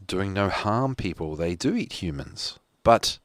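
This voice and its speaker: A man's voice: low voice, expressive, a little slow, dry recording. A man with a New Zealand accent hosting a casual solo talk show, rambling with lots of fillers and restarts. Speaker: podcast host.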